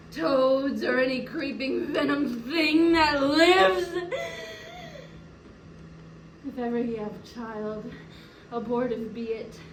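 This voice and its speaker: A young woman acting a grieving, vengeful widowed noblewoman in a declamatory, emotional classical Shakespearean stage style. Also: on the high side. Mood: bitter, cursing. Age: young adult. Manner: declamatory, emotional, slow deliberate delivery. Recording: roomy, distant mic, noisy room.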